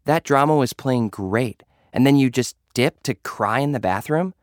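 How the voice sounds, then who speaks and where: A man's voice: mid-range voice, moderate pace, no room sound, clean studio sound, voice pushed up, close to an everyday voice. A man voicing a hard, foul-mouthed young woman influencer in an audio drama, curt and scolding. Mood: scornful, impatient.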